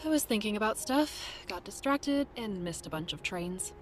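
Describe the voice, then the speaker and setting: A woman's voice: mid-range voice, lively intonation, normal speed, faint music behind, lightly acted. A woman playing a wary, curious young monster hunter in a video game.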